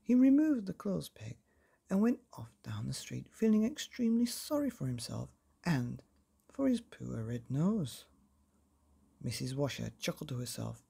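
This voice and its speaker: A man reading a children's book as an expressive bedtime storyteller, deliberately and with dramatic pauses. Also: medium pitch, vocal fry, husky.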